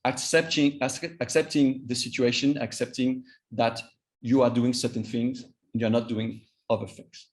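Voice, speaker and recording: A man in his fifties with a French accent, a native Vietnamese speaker, giving an informal training talk as a coach, haltingly and repeating words for emphasis. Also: mid-range voice, level tone. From Vietnam and France. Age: 50s. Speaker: cross-cultural trainer and coach.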